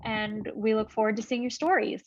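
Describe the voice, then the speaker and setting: A woman's voice: fairly high pitch, fairly quick, a crowd in the background. A woman moderating a press conference in a polite, businesslike way, over lo-fi call audio.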